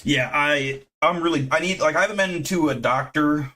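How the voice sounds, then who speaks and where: A man's voice: fairly high pitch, normal speed, pushed and bright. A man in his thirties chatting casually with viewers on a live stream, rambling from one thing to the next and swearing freely. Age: 30s. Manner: rambling, profane, self-deprecating.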